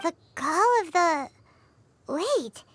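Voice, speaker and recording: Squeaky, excitable tiny fairy girl. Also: extremely slow, full character voice. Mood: puzzled, excited. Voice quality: squeaky, childlike. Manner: exclamatory, alarmed, refers to self by name. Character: Paimon, tiny excitable childlike fairy companion, female. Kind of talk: anime video game voice acting. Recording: music behind the voice.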